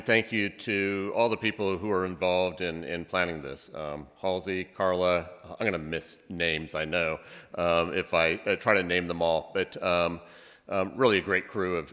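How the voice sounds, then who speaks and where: A man speaking as interim college president at a convocation, halting with many ums and restarts. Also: deep voice, steady tone, fairly bright tone. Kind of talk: convocation speech. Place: large hall. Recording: long hall reverb.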